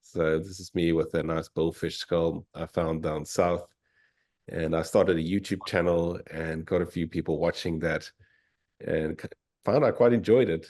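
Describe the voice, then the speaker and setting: A South African man with a very low, deep voice gives a relaxed, keen presentation as a guest speaker. He comes through a video call. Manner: easygoing and enthusiastic. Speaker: fossil hunter and guest speaker. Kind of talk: informal slide presentation.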